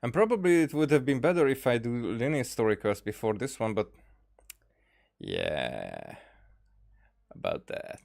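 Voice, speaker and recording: A man livestreaming a game in a casual, rambling way, often halting and trailing off mid-thought. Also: medium pitch, sing-song, gravelly, a little mellow. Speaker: game streamer. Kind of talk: casual livestream chat over gameplay.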